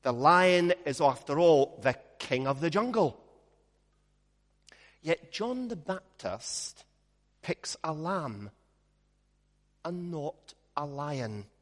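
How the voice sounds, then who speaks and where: A Scottish man preaches in a slow, measured way, with a fairly high voice for a man. He weaves light humor into his stories. His voice rings out with a long reverb, as in a large church. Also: very animated. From Scotland. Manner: measured, storytelling with gentle humor. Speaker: minister. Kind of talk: sermon with opening prayer.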